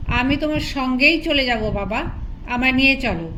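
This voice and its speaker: A woman's voice: high-pitched, normal speed, a bit bright, a little hiss or hum. A woman narrator voicing a headstrong young married woman from a storybook, insistent and defiant.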